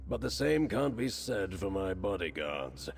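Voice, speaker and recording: A man's voice: low-pitched, very animated, measured pace, vocal fry, exaggerated voice. A man playing a chatty, slyly menacing crime lord in a fantasy video game, with an insinuating, falsely courteous manner. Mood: wry, veiled menace. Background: background music.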